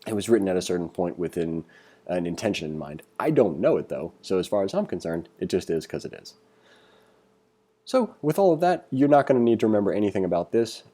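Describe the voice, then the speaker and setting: A man's voice: sits low, big pitch swings, brisk, mellow. A man giving a casual screencast tutorial, reading along and thinking aloud in an informal, conversational way as an instructor.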